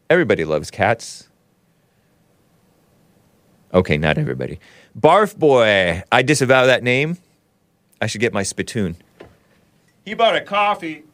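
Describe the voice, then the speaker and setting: A man hosts a casual livestream, reading chat messages and riffing on them with a joking, chuckling manner. His pitch swoops around a lot while he talks at an unhurried pace. The sound is dry and close. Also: fairly bright tone, dry recording. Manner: jokey, reads chat messages aloud in mock voices, chuckles often.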